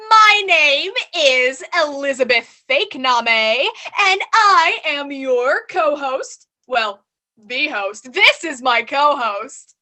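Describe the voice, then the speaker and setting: A woman's voice: high voice, animated, slow pace, bright, forceful tone. Bubbly young woman doing an improv show. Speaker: improv performer and show president. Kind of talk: improv comedy scene.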